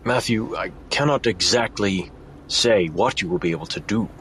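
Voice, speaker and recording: A man playing a calm, grave, encouraging mentor in an audio drama, speaking formally and with measure. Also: lowish voice, moderate pace, a little hiss or hum, lightly acted.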